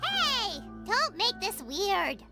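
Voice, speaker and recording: Tiny fairy sidekick, very high squeaky voice, swooping intonation, perky, anime game. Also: female voice, sing-song, very slow, over music, heavily acted. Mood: indignant. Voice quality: squeaky, childlike. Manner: perky, emphatic, speaks of self in third person. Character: tiny excitable childlike fairy companion, food-loving.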